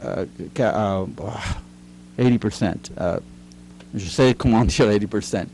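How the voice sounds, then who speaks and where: An older retired man, a guest in a studio interview, answering slowly with many fillers and restarts. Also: fairly low pitch, darkish tone, slight background noise. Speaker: guest, retired teacher and writer. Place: recording studio.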